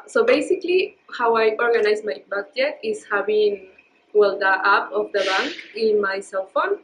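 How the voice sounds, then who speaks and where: A woman's voice: medium pitch, rough recording. A young woman, a student, answering interview questions casually, rambling with many fillers.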